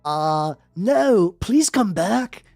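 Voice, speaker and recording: A woman voicing a sad, lovesick goth teenage boy from a fanfiction story, with drawn-out mock anguish. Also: medium pitch, animated, vocal fry, mellow, no echo, heavily acted. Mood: pleading, sad.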